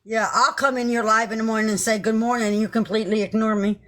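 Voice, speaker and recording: A woman's voice: fairly high pitch, steady tone, quick pace, fairly bright tone. A woman in casual livestream chat, swearing and teasing her friend, laughing often.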